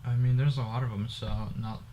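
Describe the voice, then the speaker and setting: Young Ukrainian-born man, slight accent, mid-pitched, faint background hiss. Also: flat delivery, fairly quick, warm tone. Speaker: vlogger's boyfriend. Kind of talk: casual couple Q&A vlog.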